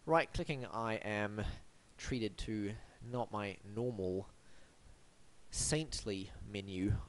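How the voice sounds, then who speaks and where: A man's voice: on the low side, slow, low-quality recording. Wry, stuffy-nosed man reviewing websites. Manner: wry, theatrical, mock-formal. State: blocked up and sniffly from hay fever. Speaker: web design reviewer, host. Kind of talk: scripted web review monologue.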